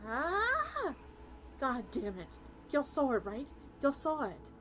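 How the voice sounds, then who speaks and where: A woman VTuber chatting and reacting on a livestream in an excitable way, prone to blurting exclamations and swearing. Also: high-pitched, slow pace. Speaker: VTuber streamer. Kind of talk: livestream reaction chat and reading aloud. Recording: loud music behind the voice. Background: music.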